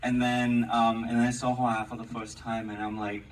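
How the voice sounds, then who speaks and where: A man speaks at an ordinary middle pitch from a stage panel, sounding loose and a little awkward. He is heard from far off through the noisy recording of an audience member's camera in a big theater. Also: flat delivery, moderate pace. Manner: self-described awkward and fidgety, laughs often. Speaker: actor on a panel. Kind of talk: casual panel Q&A answers. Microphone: audience member's camera from the seats.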